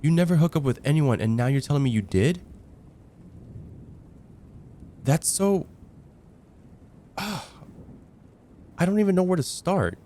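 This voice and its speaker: A young man playing a casual heartbreaker friend confiding about dating in a conversational, hesitant ASMR roleplay, with sighs and trailing pauses. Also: fairly high pitch, lively intonation, warm tone, a little hiss or hum, natural voice. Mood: surprised, curious. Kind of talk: ASMR roleplay, acted one-sided conversation.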